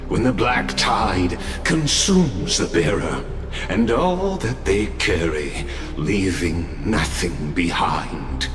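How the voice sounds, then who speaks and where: A man playing a grave, theatrical oracle in a fantasy video game, speaking solemnly and grandly with dramatic pauses. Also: sits low, sing-song, full character voice. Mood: grave, instructive. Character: grave, theatrical male oracle presiding over a divine trial. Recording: echoey voice over background music.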